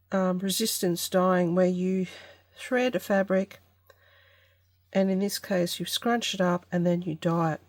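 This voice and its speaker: A woman hosting a casual craft vlog, congested from an allergy, talking through her work in an unhurried, tentative way as she thinks aloud. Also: mid-range voice, a little mellow, dry recording. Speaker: craft channel host.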